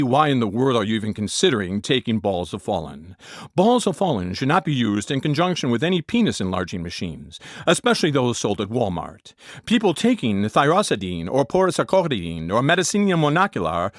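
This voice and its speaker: A man performs a deadpan commercial announcer for a spoof medicine ad. He sits at a middle pitch and delivers official warnings as matter-of-fact lists, recorded close and dry. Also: no room sound, natural voice. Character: deadpan drug-commercial announcer reading absurd side effects. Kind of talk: parody pharmaceutical ad narration.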